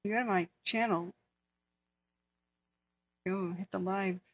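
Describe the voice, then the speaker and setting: A woman hosting a wildfire tracking livestream, hoarse from hours of talking, speaking earnestly and answering viewers by name. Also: medium pitch, steady tone, slow, no echo, muffled recording. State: hoarse, losing her voice. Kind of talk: casual livestream chat.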